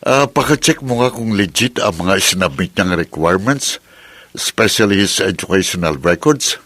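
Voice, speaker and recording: A man's voice: sits low, animated, moderate pace, fairly bright tone, dry recording. A man acting a businesslike, suspicious bank boss in an office drama, with a Filipino accent. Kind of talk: acted office drama dialogue.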